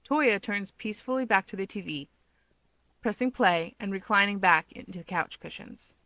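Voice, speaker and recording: A woman's voice: medium pitch, moderate pace, pushed and bright, no room sound. A woman hosting and narrating a story in a scripted read-aloud style. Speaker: channel host and fan fiction reader.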